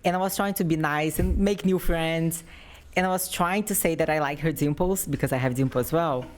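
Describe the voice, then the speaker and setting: A woman's voice: deep voice, brisk, darkish tone. A woman in her twenties with a Brazilian Portuguese accent, a native Portuguese speaker who grew up in Brazil, chatting as an interview guest, friendly and giggly, sometimes searching for words. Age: 20s. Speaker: guest athlete. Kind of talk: casual interview.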